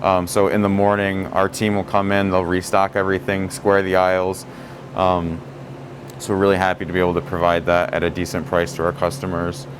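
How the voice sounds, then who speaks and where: Man, store manager, low voice, easygoing walk-through talk, steady background hum. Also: fairly quick. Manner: relaxed, many fillers and restarts. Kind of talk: casual store tour talk. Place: grocery store aisle.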